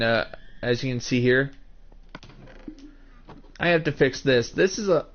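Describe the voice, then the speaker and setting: A man in his twenties giving casual commentary while streaming a building game, explaining each step and talking to chat viewers by name. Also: mid-range voice. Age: 20s. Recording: muffled lo-fi stream mic.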